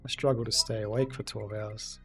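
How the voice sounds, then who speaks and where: A man's voice: lowish voice, fairly even intonation, a little fast, vocal fry, faint music behind. A man streaming a video game, chatting casually with viewers and reading their messages aloud. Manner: chatty, reads and answers chat aloud. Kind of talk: casual let's-play commentary.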